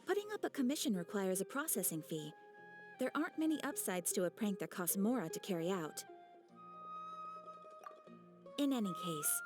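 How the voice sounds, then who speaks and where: A courteous guild receptionist in a fantasy game, with a fairly high voice and lively, swooping intonation. She speaks formally and professionally, over faint music. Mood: polite, reassuring. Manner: polite, formal, measured, professional. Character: poised, courteous female adventurers' guild receptionist. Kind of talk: video game voice acting.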